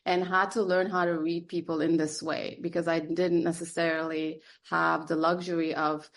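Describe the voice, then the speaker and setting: A woman's voice: low voice, flat delivery, moderate pace. A woman psychotherapist raised in Germany and Turkey, whose first language is Turkish, answering interview questions thoughtfully with frequent fillers and restarts. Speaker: psychotherapist guest.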